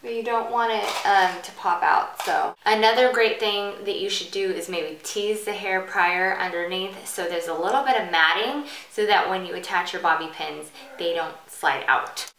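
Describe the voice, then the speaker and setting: A woman with a bright voice hosts a hairstyling tutorial in an informal, chatty way. She explains each step casually while demonstrating. The room is noticeably echoey and she sits a little off the mic. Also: mid-range voice, normal speed, few pauses, far from the mic, slight background noise. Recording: bright roomy echo, off the mic.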